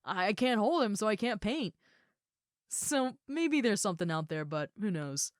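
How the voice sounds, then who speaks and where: A woman playing a gentle, shy young ogre roommate in an intimate comfort roleplay, talking softly, casually and a little hesitantly to the listener. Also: mid-range voice, lively intonation, normal speed, no echo, clean studio sound, natural voice. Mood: shyly excited. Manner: soft, hesitant, casual, reassuring.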